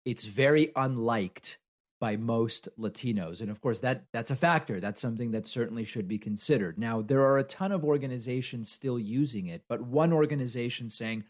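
A man's voice: medium pitch, moderate pace. A man from Argentina, a native Spanish speaker, hosting a solo political commentary show. He talks conversationally and argues with objections he raises himself.

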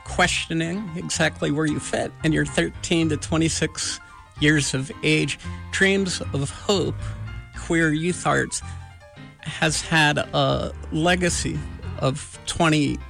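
Male host, gravelly, slow and searching delivery, light music bed. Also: a little high, fairly even intonation, fairly bright tone. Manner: measured, halting with many "uh" pauses. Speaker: radio show host. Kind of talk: slow, thoughtful interview hosting.

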